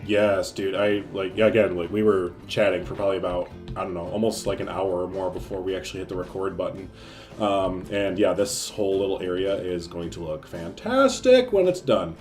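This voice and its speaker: A man's voice: deep voice, lively intonation, brisk, darkish tone, faint music behind. A man co-hosting a casual gaming chat, upbeat and talkative, leaning on "like" and "um". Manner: upbeat, laughs often, lots of "like" and "um". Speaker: co-host of a gaming series.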